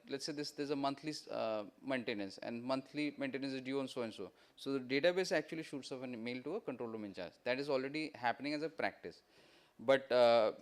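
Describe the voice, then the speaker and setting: Indian-accented man explaining technical work. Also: mid-range voice. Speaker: presenter at an industry workshop. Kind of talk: technical conference presentation, spoken off the cuff.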